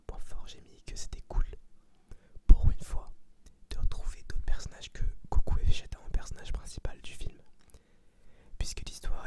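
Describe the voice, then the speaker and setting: A young man giving a casual ASMR film review in a breathy whisper, slangy and often searching for words. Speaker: ASMR video creator.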